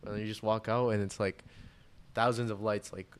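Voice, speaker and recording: Low-voiced young man chatting in slang. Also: level tone, a little fast, slight background noise. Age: young adult. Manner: slangy bro-talk, heavy use of "like". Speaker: podcast co-host. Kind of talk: casual chat, storytelling.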